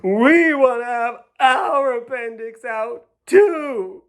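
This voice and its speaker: A man mimicking whiny, high-voiced little girls. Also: slow, exaggerated voice. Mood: whiny wailing. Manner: loud, whiny mock crying. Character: chorus of little girls, wailing and wanting.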